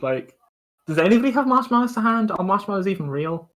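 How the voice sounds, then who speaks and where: Higher-voiced young man, wry casual co-host. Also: expressive, quick pace, a bit bright. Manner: wry, self-deprecating, informal. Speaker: livestream co-host. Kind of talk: casual joking chat.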